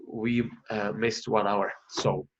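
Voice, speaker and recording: Guy on a webinar call, halting, lots of uhs, kinda dull lo-fi sound. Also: fairly low pitch, little pitch movement, slight background noise. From Czech Republic. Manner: hesitant, many fillers and restarts. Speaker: researcher presenting a webinar. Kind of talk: online webinar presentation.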